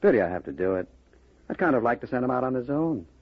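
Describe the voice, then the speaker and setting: An actor playing a ruthless gangster boss in a 1950s radio drama, mocking, commanding and coolly sinister. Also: male voice, mid-range voice, big pitch swings, fast talker. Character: ruthless, taunting gangster boss with smooth cruelty. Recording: dull vintage radio recording.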